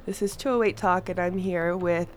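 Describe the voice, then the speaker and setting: A woman's voice: on the low side, steady tone, moderate pace, a little hiss or hum. A woman hosting a casual radio interview in a studio, chatty, laughing often and restarting her questions. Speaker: radio host. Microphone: studio mic.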